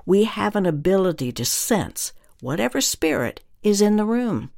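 Minister, medium pitch, scripted religious teaching, earnest, deliberate, emphatic phrasing. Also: sing-song, gravelly, darkish tone.

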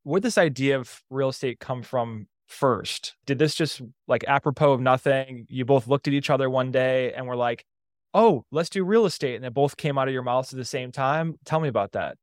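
A man hosting a real estate podcast, introducing and interviewing a guest in an upbeat, energetic way. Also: medium pitch, moderate pace, no echo, studio quality. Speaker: podcast host.

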